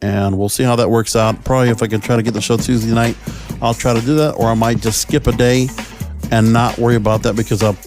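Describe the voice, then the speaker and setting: A man's voice: mid-range voice, fairly even intonation, fast, music in the background. A man hosting a solo podcast, talking casually about entertainment in a rambling, opinionated, conversational way. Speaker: podcast host.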